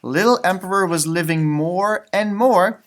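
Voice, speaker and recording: A man reading a children's picture book aloud in an expressive, teacherly way, with casual chatty asides. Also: high-pitched, measured pace. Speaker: storybook reader, elementary school teacher.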